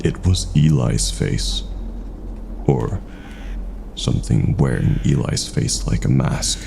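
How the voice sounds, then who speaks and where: A man narrating a scripted horror story in a dramatic, suspenseful way with drawn-out pauses. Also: a bass voice, expressive, gravelly, noisy background.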